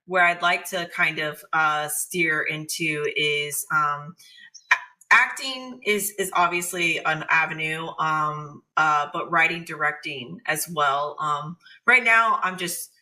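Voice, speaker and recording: An enthusiastic woman guest in a casual chat. Her voice is very bright and forward, set a little low for a woman, and she talks informally. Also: slow pace, low-quality recording. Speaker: guest, aspiring director and performer.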